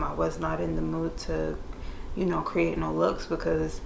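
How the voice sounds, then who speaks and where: A young woman doing a makeup tutorial in casual chat, laid-back and monotone by her own account, with playful slangy asides to viewers. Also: deep voice, creaky, roomy sound, background noise. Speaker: beauty vlogger. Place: room indoors. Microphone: camera mic.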